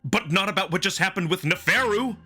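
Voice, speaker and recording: A man's voice: sits high, lively intonation, brisk, pushed and bright, slightly rough, soft background music, voice pushed up, full character voice. A man playing a hurt, stubborn human in a visual novel drama, blunt and confrontational in his delivery. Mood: indignant.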